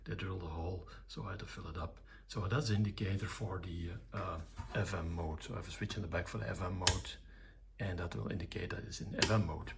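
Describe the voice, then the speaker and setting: Mature male electronics hobbyist, deep gravelly voice, plain unscripted technical walkthrough with halting delivery. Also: fairly even intonation, background noise. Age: middle-aged or older. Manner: matter-of-fact, hesitant.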